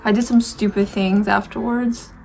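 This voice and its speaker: A young Romanian-accented woman talks to camera in a relaxed, meandering way as she does her makeup. Her voice has a noticeable gravelly fry. Faint music plays softly underneath. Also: mid-range voice, normal speed. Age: 20s. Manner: reflective, rambling, many fillers and restarts. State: dry throat. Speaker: beauty vlogger. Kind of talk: casual chat while doing makeup.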